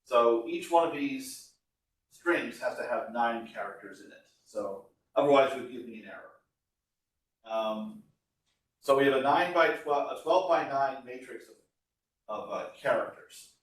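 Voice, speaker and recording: A man's voice: mid-range voice, measured pace. Echoey, distant man lecturing informally. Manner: explains step by step, conversational. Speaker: university lecturer. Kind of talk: informal classroom lecture explaining code. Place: classroom. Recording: echoey room, voice far from mic.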